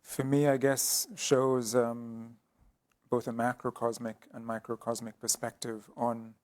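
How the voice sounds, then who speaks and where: A man speaking as an artist guest in an interview, explaining his work thoughtfully with frequent pauses and restarts. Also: medium pitch, flat delivery, a little mellow. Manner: hesitant, many ums and restarts.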